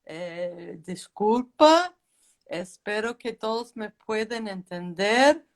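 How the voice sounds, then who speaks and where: A woman whose first language is English, speaking as an interview guest in a careful, hesitant, deliberate way. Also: medium pitch, lively intonation. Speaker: guest, legal advisor. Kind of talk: introducing herself in a live interview.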